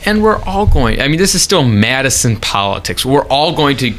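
A man speaks in an earnest, reflective way, with plenty of ums and uhs. His voice has a bright, forceful edge. A faint hum of ambience sits behind him. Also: mid-range voice, sing-song, normal speed. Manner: earnest, reflective, frequent fillers. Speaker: city council member. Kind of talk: casual conversation.

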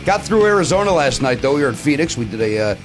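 A man hosting a casual comedy podcast, hoarse and raspy from a sore throat, bantering playfully and mocking himself. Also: fairly high pitch, very animated, fast, soft background music. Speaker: podcast host.

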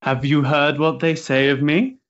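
An actor playing a nervous, self-dramatising young Victorian gentleman with an effusive, theatrical manner in a period drama. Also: steady tone, measured pace, muffled recording. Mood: effusive, anxious. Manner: effusive, highly strung, theatrical. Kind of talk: period stage drama acting.

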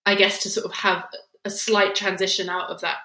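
A woman's voice: mid-range voice, flat delivery, a little fast, sharp tone. A young woman talking as a guest in a casual interview, reflective and meandering, a little self-deprecating. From England, near Luton. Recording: roomy echoey recording.

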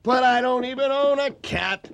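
An actor playing a flustered, stubborn rival restaurateur in a radio comedy, spluttering and grudging. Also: male voice, very high-pitched, normal speed, vocal fry, noisy background. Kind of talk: radio comedy drama acting.

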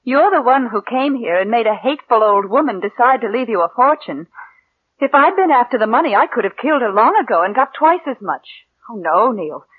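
An American actress playing a cold, calculating murderess who passes as meek, in controlled, deliberate mid-century radio suspense style. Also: female voice, a little high, expressive, breathy edge. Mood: controlled, threatening. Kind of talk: 1940s-50s American radio suspense acting and narration. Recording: muffled vintage radio recording.

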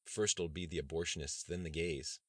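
A man's voice: deep voice, fairly even intonation, fairly quick, husky, no echo, clean studio sound. A man reading an audiobook voices a worried, middle-aged government budget expert.

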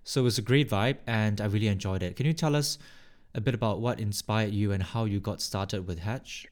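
Lower-pitched male podcast co-host. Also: fairly quick. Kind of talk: podcast hosting, conversational.

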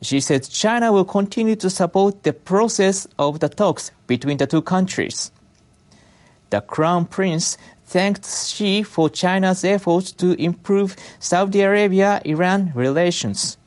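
Measured news reader with medium pitch. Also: a little mellow. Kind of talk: scripted news narration.

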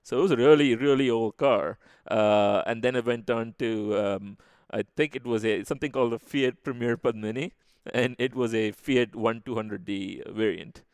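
A man's voice: medium pitch, normal speed, hardly any pauses, a little mellow, smooth, no room sound, clean studio sound. A man from India with an Indian accent, chatting casually as a podcast guest. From Chennai, India. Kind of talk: casual interview chat.

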